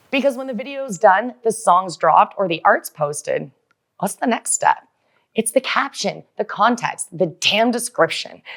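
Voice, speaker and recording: A woman hosting a talk show in an effusive, slangy, enthusiastic way. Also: mid-range voice.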